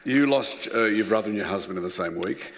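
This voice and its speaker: An older Australian man hosting a panel interview, asking gentle, probing questions. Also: lowish voice, lively intonation, a little fast. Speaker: host, interviewer. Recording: reverberant hall with faint noise.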